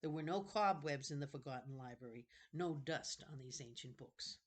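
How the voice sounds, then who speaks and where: A woman with a low voice reads a children's novel aloud. Her narration is unhurried. She now and then goes back over a fumbled phrase. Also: slightly rough. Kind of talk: storybook narration read aloud.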